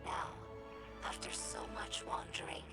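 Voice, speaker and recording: A woman voicing an earnest, gentle young female alien scribe in a video game, narrating lore in a measured, formal, reflective way with a breathy voice. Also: over loud music, close to an everyday voice. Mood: fond, reflective. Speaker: scribe recording her house's history.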